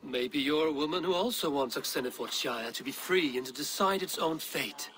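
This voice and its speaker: An actor playing a bold, blunt Viking warrior in a dramatic game cutscene, speaking in a direct, terse way. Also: male voice, fairly high pitch, normal speed, a bit bright, natural voice. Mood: firm, persuasive.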